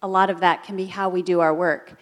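A woman's voice: lowish voice, flat delivery, a little fast. Polite woman asking a thoughtful question. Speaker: audience member asking a question.

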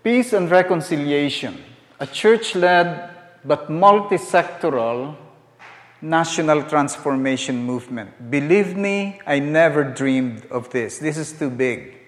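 A man's voice: fairly high pitch, measured pace. An older man preaching a sermon with stories and humorous asides. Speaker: guest preacher. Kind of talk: sermon with storytelling. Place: church sanctuary. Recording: echoey church hall.

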